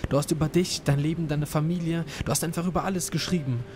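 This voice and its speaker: A man's voice: a little high, fairly even intonation, fast, noisy background, natural voice. A young man playing a curious student who takes charge, in an audio drama. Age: young adult. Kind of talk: amateur audio drama acting. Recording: music behind.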